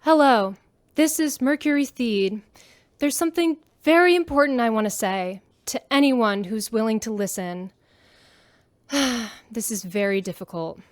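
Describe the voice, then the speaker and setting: Lady doing bratty young troublemaker, fake distressed drama queen, high voice, radio play, dry mic. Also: unhurried, no room sound, lightly acted. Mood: mock distress. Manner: melodramatic. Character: mischievous young female troublemaker faking distress. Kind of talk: sci-fi radio drama acting.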